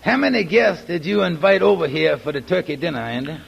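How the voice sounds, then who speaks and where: An actor playing a concerned, kindly friend with folksy dialect speech, in 1940s radio drama style. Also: male voice, fairly high pitch, animated, brisk, gravelly, breathy edge, highs cut off, faint music behind, full character voice. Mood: curious. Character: Amos, concerned, kindly friend of a sad man. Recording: faintly noisy 1940s radio recording.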